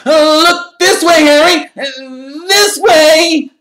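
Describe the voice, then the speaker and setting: A man voicing a small, excitable schoolboy in a children's storybook, shrill and eager, calling out loudly. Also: drawn-out words, heavily acted. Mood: excited, shrill. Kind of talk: audiobook character voice in a children's fantasy story.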